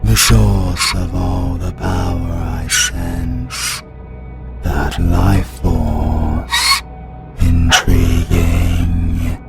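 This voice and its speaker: A British man voicing the cold, menacing dark side of a possessed demon hunter in a fantasy audio drama. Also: big pitch swings, heavily acted. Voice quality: gravelly, very deep. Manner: slow, cold, ominous pronouncements. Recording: music underneath.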